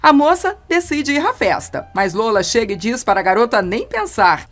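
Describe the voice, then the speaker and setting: A woman narrating a scripted recap of soap opera episodes in a steady, even read-aloud manner. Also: fairly high pitch.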